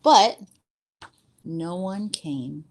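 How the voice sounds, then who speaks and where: A woman reading a storybook aloud to children as a teacher, with an expressive, deliberate storytelling delivery. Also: on the low side, a little hiss or hum.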